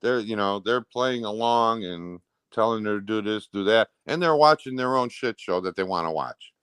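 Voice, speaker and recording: A man's voice: lowish voice, animated, normal speed, fairly bright tone, smooth, no room sound, studio quality. An American man in casual conversation, talking in a blunt, rambling way. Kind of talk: casual chat.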